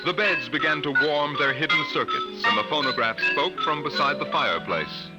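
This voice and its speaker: An actor playing a grave, dramatic storyteller, narrating in the style of 1950s radio drama. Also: male voice, a little high, lively intonation, moderate pace, close to an everyday voice. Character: grave, dramatic male storyteller of a doomed house. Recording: old radio recording with loud music.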